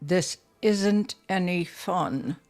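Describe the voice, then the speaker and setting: A woman's voice: lowish voice, extremely slow, darkish tone, soft background music. An actress playing a curious, insistent lady of a big house in an audio drama, talking in a probing, coaxing way.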